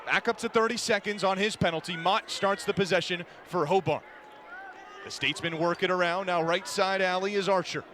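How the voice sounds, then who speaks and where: A man giving an energetic, descriptive live radio play-by-play commentary of a lacrosse game. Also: sits high, fairly quick. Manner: energetic, descriptive running call of the action. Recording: noisy live broadcast, game crowd behind. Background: crowd and ambient game noise.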